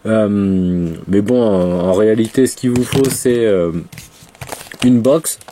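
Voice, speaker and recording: A man's voice: lowish voice, steady tone, takes it slowly, mellow, slight background noise. A man with a head cold, chatting casually through a product review with lots of fillers and restarts. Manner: rambling, many fillers and restarts. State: has a cold, congested. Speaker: vape reviewer.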